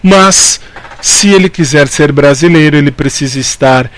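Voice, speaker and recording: A man's voice: on the high side, moderate pace, fairly bright tone. A Brazilian man giving an informal exam-prep law lecture, repeating key points and answering his own questions in a didactic way. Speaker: law teacher.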